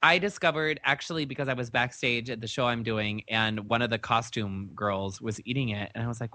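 A man's voice: medium pitch, fairly quick, bright, forceful tone, no echo. A man co-hosting a casual chat podcast, making playful jokes and wordplay. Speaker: podcast co-host.

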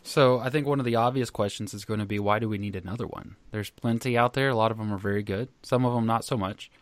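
A man's voice: fairly low pitch, steady tone, quick pace, no echo. Wry casual male podcast host. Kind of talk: conversational interview questions.

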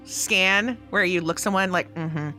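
A woman's voice: mid-range voice, very animated, fast, pushed and bright, faint music behind. A woman talking casually as a player in a tabletop role-playing game.

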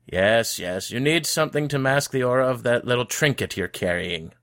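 Grumpy old shopkeeper wizard, voiced by a male narrator, irritable and dismissive, sharp edge to the tone. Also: mid-range voice, animated, moderate pace, voice pushed up. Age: older. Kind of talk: audiobook character voice.

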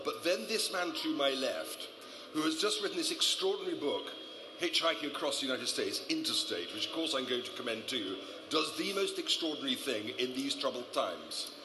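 A man hosting a live festival stage interview, talking loudly and chattily and apologizing for the microphone trouble. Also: medium pitch. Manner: loud, chatty, apologetic about mic trouble. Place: stage at a travel writers festival. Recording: roomy hall with background noise.

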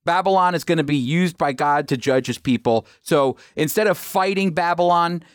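A man's voice: moderate pace, smooth, no echo. Emphatic male Bible teacher, dry sound. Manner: earnest, emphatic, with pitch climbing on key phrases.

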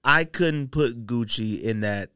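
A man's voice: mid-range voice, takes it slowly, pushed and bright, smooth, dry recording. An American man hosting a casual studio podcast, telling stories and giving opinions in slangy, conversational language. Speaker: podcast host. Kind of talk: casual panel chat about sneakers.

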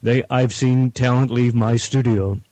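A middle-aged or older man, a guest producer, talking reflectively in measured, deliberate short phrases. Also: mid-range voice, steady tone, warm tone, dry recording. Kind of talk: reflective panel talk.

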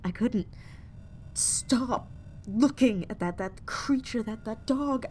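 A woman playing a traumatized, betrayed space explorer in a sci-fi horror audio drama, speaking in a halting monologue that slips into whispers. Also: a little high, a little mellow, slight background noise. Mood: shaken, tearful.

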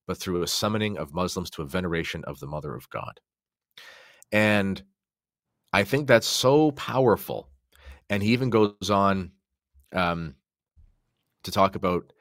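A man's voice: sits low, a bit bright, dry recording, clean studio sound. A man talking conversationally on a podcast, commenting and reading quotations aloud. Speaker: podcast guest or co-host.

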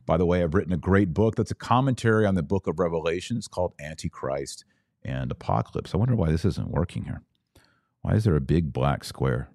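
A man's voice: deep voice, expressive, a little fast, darkish tone, no room sound, clean studio sound. A middle-aged American man hosting a religious commentary podcast, speaking off the cuff and stretching key words for emphasis, with conversational asides. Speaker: podcast host.

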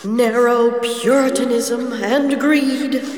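Slow, echoing, solemn female Christmas spirit. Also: a little high, steady tone. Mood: grave warning. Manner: slow, grave, portentous delivery. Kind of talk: radio drama acting. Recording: echoing hall reverb over loud music.

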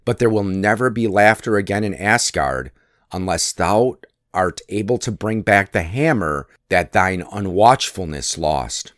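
A man's voice: low-pitched, animated, a little slow, fairly bright tone, no room sound, clean studio sound. A man voicing a cunning, mocking trickster god from a myth, teasing and coaxing as he schemes. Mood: sly persuasion. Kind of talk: dramatic myth storytelling.

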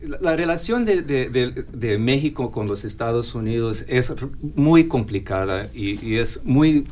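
A man on a discussion panel, talking in a halting way, restarting and hunting for the right word. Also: mid-range voice, animated, darkish tone, a little hiss or hum. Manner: halting, searching for words, many restarts.

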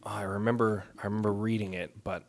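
A man's voice: fairly low pitch, level tone, brisk, vocal fry, slight background noise. A man co-hosting a podcast in casual chat, making his points emphatically and opinionatedly, often repeating phrases for weight. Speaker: podcast co-host. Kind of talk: casual chat about films and comics.

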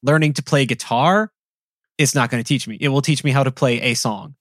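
A man's voice: mid-range voice, fairly quick, no room sound, studio quality. A man co-hosting a casual podcast conversation, talking in a loose, rambling way with lots of "like" fillers. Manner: relaxed, rambling with frequent "like" fillers. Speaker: podcast co-host.

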